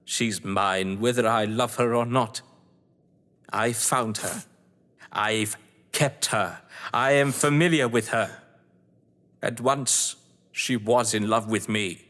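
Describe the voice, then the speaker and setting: An actor playing a cold, gloating crime novelist and betrayed husband in an audio drama, speaking with eloquent, taunting menace. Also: male voice, lowish voice, slow, pauses often, fairly bright tone. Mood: possessive scorn. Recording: reverberant hall-like room.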